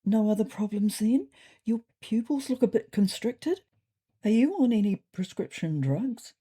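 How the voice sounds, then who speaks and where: A woman's voice: a little high, lively intonation, measured pace, dark tone, husky, voice pushed up. A woman voicing an earnest, concerned female vet in a comic storybook reading.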